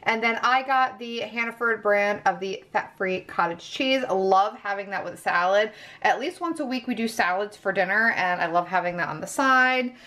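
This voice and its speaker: Woman vlogger talking casually at home, chatty and emphatic, average pitch, filming as she goes. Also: moderate pace, sharp tone. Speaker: vlog host. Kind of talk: casual grocery haul narration, chatty. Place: indoors at home, kitchen.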